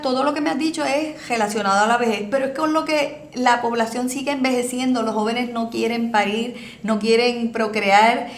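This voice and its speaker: A woman in her sixties with a Puerto Rican accent, a guest answering interview questions earnestly, pausing on fillers. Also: a little high. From Puerto Rico. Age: 60s. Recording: echoey room, faint noise.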